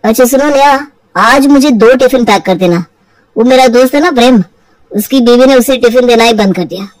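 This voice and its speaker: A woman's voice: on the high side, brisk, a little mellow, no room sound, natural voice, rough recording. A casual young husband from an audio storybook , played by a woman. He talks in a mildly grumbling way, and the recording is dry with no room sound. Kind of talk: acted Hindi audio storybook.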